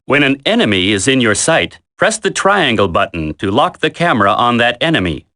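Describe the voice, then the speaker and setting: Firm, lively-pitched military game instructor. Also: male voice. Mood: instructive, firm. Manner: instructive, deliberate, commanding. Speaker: tutorial instructor. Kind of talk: scripted video game tutorial narration.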